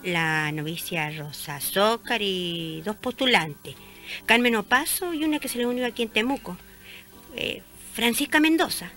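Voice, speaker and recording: Bright, chatty village neighbour woman. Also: medium pitch, animated, measured pace, faint music behind. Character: gossipy, pious small-town neighbour woman, friendly and chatty. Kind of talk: radio drama dialogue.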